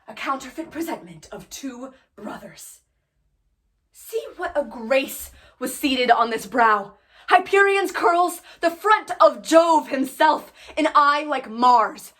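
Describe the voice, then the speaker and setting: A woman performing a Shakespearean stage monologue as an anguished, scornful young prince, declaiming with drawn-out emphasis. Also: high voice, fairly bright tone. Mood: fervent praise. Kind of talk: classical Shakespearean stage acting.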